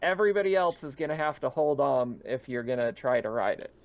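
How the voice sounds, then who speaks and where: A man's voice: medium pitch, animated, brisk. A man acting as game master, talking casually and narrating a tabletop roleplaying session. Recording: dull lo-fi with background noise.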